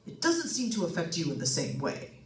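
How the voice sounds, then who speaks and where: A woman's voice: fairly low pitch, huge pitch swings, brisk, darkish tone. A woman playing a grave, formal psychic ghost-hunting doctor in an old-time radio suspense drama. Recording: roomy, distant stage microphone pickup.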